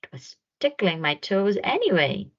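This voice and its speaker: A woman voicing a kind, generous male giant in a children's storybook, in a hearty, expressive manner. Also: mid-range voice, normal speed, muffled recording. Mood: kind, cheerful.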